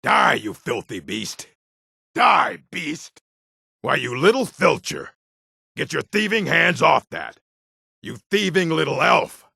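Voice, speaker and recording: An actor playing a gruff, combative male orc townsman in a video game, with a rough, husky voice and a blunt, curt way of speaking. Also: fairly high pitch, big pitch swings, takes it slowly, bright, forceful tone, no room sound, clean studio sound, full character voice. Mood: angry, threatening. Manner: blunt, curt, threatening delivery. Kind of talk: video game character barks.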